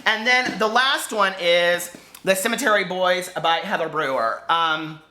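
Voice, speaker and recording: A man chatting casually to camera as a book vlogger, gushing and animated, wandering off on tangents with lots of filler words. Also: high voice, a little slow. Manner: gushing, digressive, peppered with fillers.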